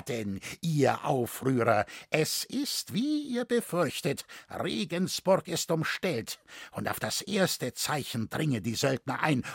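A man's voice: medium pitch, creaky, a bit bright, husky, no room sound, studio quality, exaggerated voice. A man voicing a haughty, stern imperial captain in a story, dignified and declamatory in archaic speech.

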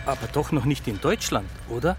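A man acting a doubtful, interested local official in a radio play. His mid-pitched voice is measured and questioning. Clear music runs behind it. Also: expressive, close to an everyday voice. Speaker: district administrator. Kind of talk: scripted audio drama dialogue.

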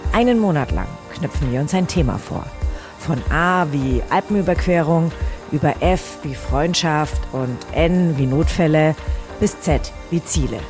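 A woman's voice: low voice, expressive, measured pace. A woman podcast host reading a scripted promo. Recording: music bed behind the voice.

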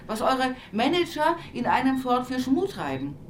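A woman's voice: high-pitched, big pitch swings, moderate pace, a little hiss or hum. An actress playing an indignant, stubborn old farm woman with a Bavarian accent, grumbling emphatically in a colloquial radio play monologue. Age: older.

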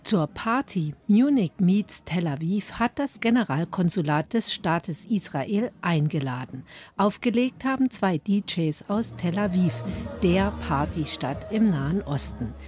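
A woman narrating a radio report in a clear, even way. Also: fairly low pitch, measured pace, over music.

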